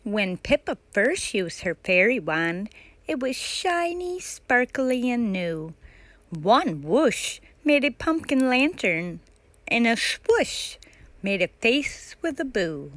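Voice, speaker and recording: A woman's voice: a little high, sing-song, slow pace, a bit bright, no room sound. A woman narrating a children's picture book aloud as a storytime reader.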